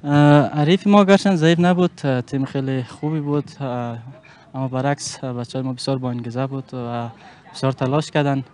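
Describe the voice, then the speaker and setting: Young Afghan footballer, hesitant. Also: male voice, medium pitch, a little mellow, clean tone. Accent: Afghan Dari. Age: young adult. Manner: modest, with frequent "uh" fillers. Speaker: football player. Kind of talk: post-match interview. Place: outdoor pitchside backdrop. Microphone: handheld mic.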